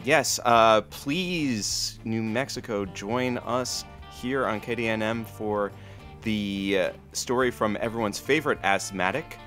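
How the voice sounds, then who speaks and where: A man's voice: mid-range voice, fairly bright tone. A man playing a wry, mock-dramatic radio announcer and sidekick in a comic audio drama. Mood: mock-dramatic hype.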